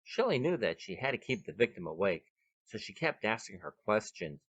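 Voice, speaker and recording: A man's voice: fairly low pitch, sing-song, normal speed, fairly bright tone, slightly rough, dry recording, highs cut off. A man hosting and narrating a scripted short story podcast with dramatic, emphatic delivery, recorded outdoors on a veranda. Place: veranda of a bed and breakfast, outdoors.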